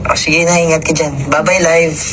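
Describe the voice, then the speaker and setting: A young man on a phone call, talking in a relaxed, joking way with a friend. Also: on the high side, normal speed. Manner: laid-back, joking, relaxed. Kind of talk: casual phone chat. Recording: noisy phone line heard over speaker.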